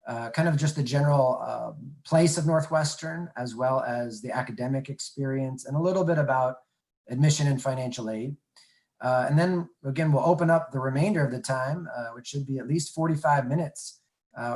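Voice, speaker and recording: Halting man hosting an admissions webinar. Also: medium pitch, a little mellow, poor audio. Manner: halting, many filler sounds. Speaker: admission director hosting a panel. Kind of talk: webinar presentation.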